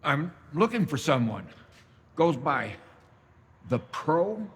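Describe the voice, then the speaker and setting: A man playing an older dermatologist with a husky voice and an earnest, eager way of asking. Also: a little high, big pitch swings, slow pace, fairly bright tone, long reverb tail, exaggerated voice. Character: nervous older male dermatologist seeking tax tricks.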